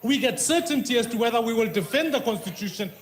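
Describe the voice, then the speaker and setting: A man presses the president in a parliament debate, pointed and insistent, his voice pitched high for a man. The chamber rings with echo around him. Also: expressive, quick pace. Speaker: opposition politician questioning the president. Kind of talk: parliamentary questioning.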